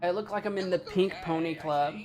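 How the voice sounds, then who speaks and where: A woman streaming a game, chatting casually, cracking jokes, laughing often and thinking out loud. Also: fairly low pitch, a little fast, soft background music. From United States. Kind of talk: casual live-stream commentary.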